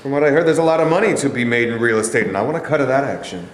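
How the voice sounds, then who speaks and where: A man's voice: medium pitch, expressive, rapid-fire, close to an everyday voice. A man reading the part of a stubborn young boxer in a staged play reading, recorded in an echoey rehearsal studio. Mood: defiant. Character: stubborn young male boxer, proud and defensive. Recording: echoey studio room from a camera.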